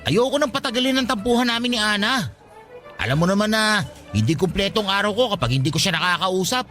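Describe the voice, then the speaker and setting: Man acting a high, bright-voiced lovesick young guy. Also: lively intonation, a little fast, faint music behind. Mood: anxious, dejected. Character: lovesick, jealous young male fast-food worker, anxious and stubborn. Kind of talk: Tagalog radio drama acting.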